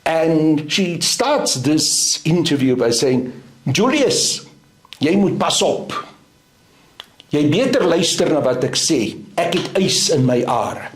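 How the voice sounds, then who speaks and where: Slow, deliberate South African man. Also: on the high side, big pitch swings. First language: Afrikaans. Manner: deliberate, emphatic, measured. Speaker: guest. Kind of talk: interview answer. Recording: roomy echo.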